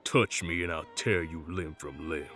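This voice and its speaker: A man playing a brash, combative loudmouth with a defiant drawl in an audio drama. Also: low-pitched, normal speed, over loud music, lightly acted. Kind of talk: audio roleplay drama acting.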